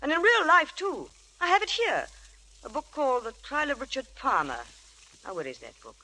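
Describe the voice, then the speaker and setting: Very animated, chatty lady novelist. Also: high voice, normal speed, exaggerated voice, rough recording. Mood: brisk, chatty. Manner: effusive, animated. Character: chatty, keen woman mystery novelist. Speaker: radio actress. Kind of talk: 1940s radio acting. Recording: old radio broadcast recording.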